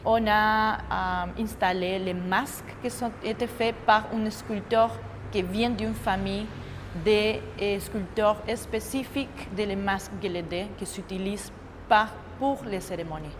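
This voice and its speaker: A woman from Argentina speaking French as a second language, a choreographer talking about her project in a halting way, often pausing to search for words. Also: mid-range voice, long reverb tail, background noise. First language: Spanish. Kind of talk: interview testimony.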